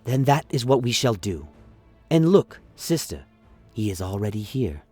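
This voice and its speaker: A man's voice: big pitch swings, darkish tone, husky. A man portrays a mad exiled prince in a fantasy radio play. He is sinister and smirking, drawing out conspiratorial lines, with music in the background. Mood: entranced. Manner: low, conspiratorial, drawn-out.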